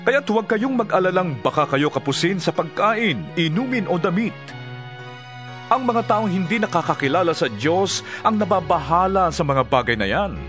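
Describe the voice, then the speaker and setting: A man acting as an earnest scripture teacher preaches to his followers with solemn, deliberate weight. His fairly high male voice rises and falls widely in a dramatized Bible reading, with music underneath.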